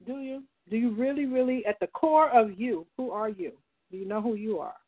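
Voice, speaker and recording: A woman's voice: a little high, moderate pace. A woman hosting a call-in talk line, speaking directly and posing questions to her listeners. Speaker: host. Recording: tinny phone line.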